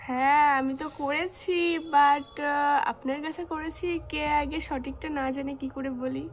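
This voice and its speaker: A woman's voice: sits high, fairly even intonation, a little slow, a little hiss or hum. A woman chatting casually and flirtatiously on a call, coy and teasing. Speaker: prank caller. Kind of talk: casual flirtatious phone chat.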